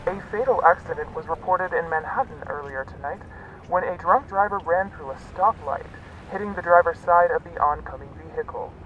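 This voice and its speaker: A man's voice: on the high side, a little fast, natural voice. A man playing a news reporter, reading a scripted report in a formal, matter-of-fact way. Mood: neutral, formal. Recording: muffled distant playback, faint background noise.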